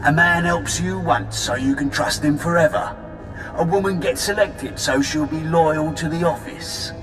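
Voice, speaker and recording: A man's voice: a little high, measured pace, music in the background, lightly acted. An actor playing a smug, taunting male crime boss villain in a game. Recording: roomy, distant game audio with score.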